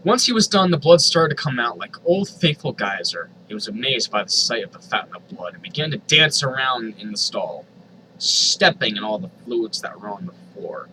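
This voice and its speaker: A man's voice: medium pitch, animated, moderate pace, bright, forceful tone, a little hiss or hum, rough recording. A man reading a story aloud in a theatrical, comedic way, with incredulous asides. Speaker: host reading fan fiction aloud.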